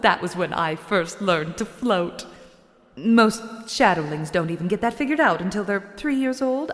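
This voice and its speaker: An actress as a haughty female shadow creature from a video game, utterly in love with herself. She talks down to everyone in a theatrical, boastful manner, at a medium pitch. A long reverb trails her voice. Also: close to an everyday voice. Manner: self-absorbed, theatrical, condescending, boastful. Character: vain, haughty female shadowling merchant, floating spirit creature. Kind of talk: dramatic video game voice acting.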